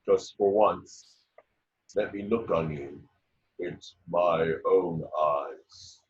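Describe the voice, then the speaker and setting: A man acts out an elderly, redeemed villain near death in a film table read. The voice is low and drags very slowly, weak and tender, with halting phrases. The call audio is dull. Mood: weak, pleading. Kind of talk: amateur screenplay table read.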